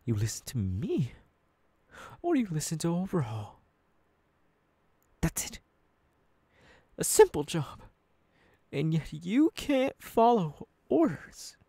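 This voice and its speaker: A man playing a menacing, unhinged young supervillain boss in an ASMR audio roleplay, threatening his underlings slowly and deliberately. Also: fairly high pitch, huge pitch swings, warm tone, husky, no echo, exaggerated voice. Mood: threatening anger.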